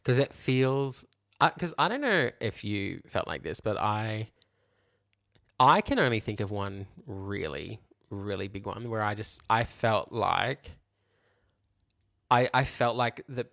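A woman's voice: extremely low pitch, animated, slow pace, gravelly, a bit bright. A woman co-hosting a podcast, chatting casually in an agreeable, conversational way. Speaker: podcast co-host. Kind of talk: casual chat.

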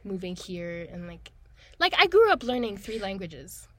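A woman's voice: medium pitch, sing-song, normal speed, fairly bright tone. A young woman co-hosting a podcast, talking casually and hesitantly, with many filler words. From Tanzania. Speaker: podcast co-host. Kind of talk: casual chat.

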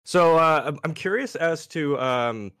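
A man of Pakistani origin calling in to ask a panel a question, halting and hesitant with many fillers. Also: a little high, expressive, fairly bright tone, clear, resonant tone, no room sound, clean studio sound. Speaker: caller asking a question.

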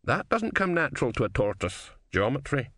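A man voicing a shrewd, excitable old male philosopher who gambles, in a high wheedling voice. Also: moderate pace, no echo, studio quality. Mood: wondering. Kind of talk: audiobook character voice.

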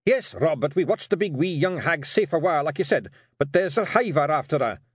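A man voicing an earnest, rough little fairy warrior with a Scottish accent, blurting out his words emphatically in an audiobook. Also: mid-range voice, expressive, moderate pace, dry recording, clean studio sound, pitched above the performer's own voice, lightly acted. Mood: alarmed. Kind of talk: audiobook character voice.

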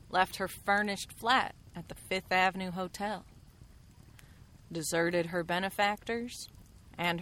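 Radio drama role: a worldly, coolly knowing female mystic, lowish voice, eloquent, deliberate and theatrical. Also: fairly bright tone.

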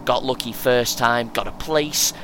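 A man playing a chatty, excitable, over-prepared first-time runner in an audio play, speaking in clipped short phrases. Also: medium pitch, unhurried. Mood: keen, boastful. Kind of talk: naturalistic audio play acting. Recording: clear background noise, vehicle ambience.

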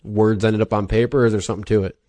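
Podcast host, man, Wisconsin accent, lower pitch, loose rambling chat. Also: level tone, very fast, dark tone. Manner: rambling, chatty, self-deprecating. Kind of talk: casual interview chat.

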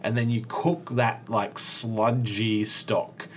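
A man's voice: fairly low pitch, level tone, takes it slowly, slight background noise. An Australian man co-hosting a casual food podcast. He talks enthusiastically and laughs often. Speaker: podcast co-host. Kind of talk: casual chat.

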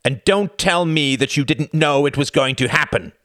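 A man voicing an older, cruel and mocking male Nazi count who shouts and cackles. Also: on the high side, moderate pace, no echo, clean studio sound, pitched above the performer's own voice, natural voice. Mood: sneering.

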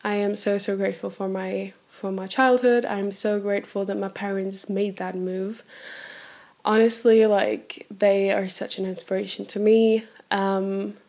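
A woman's voice: mid-range voice, little pitch movement, measured pace, a little mellow. Brief: twenties woman, German native speaker, candid rambling host, phone-recorded. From Germany, raised in Portugal. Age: 20s. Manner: chatty and candid, frequent ums and laughs. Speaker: podcast host. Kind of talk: unscripted solo podcast. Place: indoors, sitting on the floor.

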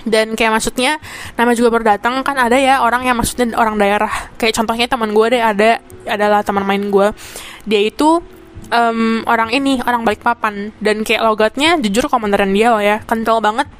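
A woman's voice: on the high side, steady tone, brisk, clear, resonant tone. A young woman in her twenties from Jakarta with a Jakarta accent, hosting a casual solo podcast. She chats in slang, fills her talk with asides and fillers, and drops in English words. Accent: Jakarta Indonesian. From Jakarta, Indonesia. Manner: chatty slang-heavy, mixes in English words. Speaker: podcast host.

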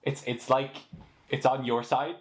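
A man's voice: medium pitch, level tone, unhurried. A young man co-hosting a casual drunken podcast chat, making dry teasing remarks. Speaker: podcast co-host.

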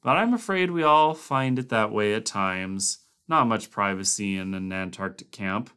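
A man voicing a calm, easygoing camp commander who speaks in a measured way. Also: lowish voice, clean tone.

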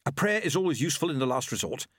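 A man acts out an excitable old monk who invents things, in a storybook reading. The voice is pitched high and swings about eagerly as he proudly demonstrates. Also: rapid-fire, husky, dry recording, studio quality.

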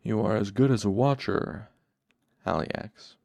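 Man playing a deep, strained, servile villain's henchman. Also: animated, unhurried, vocal fry, no room sound, exaggerated voice. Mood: snapping. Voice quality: soft, strained voice. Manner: snappish when proud, gasping and choked when submissive. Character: arrogant, cruel henchman, cowed into fearful servility.

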